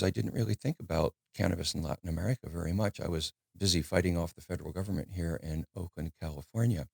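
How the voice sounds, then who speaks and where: Very deep gravelly male host. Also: darkish tone, dry recording, studio quality. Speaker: podcast host. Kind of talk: conversational introduction.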